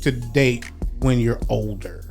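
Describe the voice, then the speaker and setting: A woman's voice: extremely low pitch, slow, a little mellow, soft background music. A woman in her forties speaking candidly and reflectively in a casual group chat. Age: 40s. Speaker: panelist.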